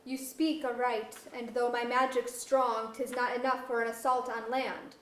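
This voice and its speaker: A woman's voice: a little high, level tone, moderate pace, lightly acted. A woman playing a brooding, genderless magical royal in archaic verse at a staged reading. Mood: resolute. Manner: grand archaic verse delivery, self-questioning. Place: museum auditorium. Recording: distant microphone in echoey auditorium.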